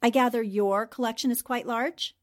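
An actress playing an inquisitive, poised newspaper reporter who asks polite, probing questions, in the style of old-time radio drama. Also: female voice, a little high, steady tone, normal speed, natural voice. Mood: politely curious.

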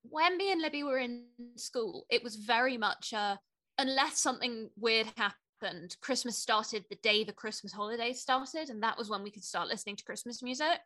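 Young female podcast host, British accent. Bright, crisp tone, dry close recording, casual chat. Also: a little high, moderate pace, no echo.

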